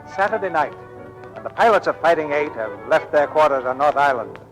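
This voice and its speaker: A man acting as a vintage American radio storyteller. His delivery is dramatic and scene-setting, in a fairly high male voice with faint music behind it. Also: animated, a little fast, lightly acted, poor audio. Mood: solemn, dramatic. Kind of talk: old-time radio scripted narration.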